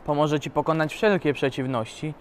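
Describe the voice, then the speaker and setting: A man's voice: fairly high pitch, normal speed, slight background noise, natural voice. A male amateur playing a grateful leader of a starving people, speaking formally and earnestly. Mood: grateful, solemn. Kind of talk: amateur school film acting.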